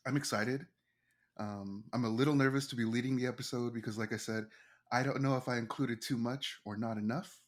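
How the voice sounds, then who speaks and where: A man co-hosting a podcast in casual chat, excitable and self-deprecating, quick to laugh. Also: mid-range voice. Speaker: podcast co-host.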